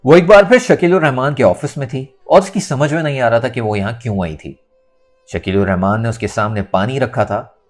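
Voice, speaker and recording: Male narrator, mid-range voice, highly varied intonation, dramatic novel reading, faint music underneath. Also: a little mellow. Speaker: audiobook narrator.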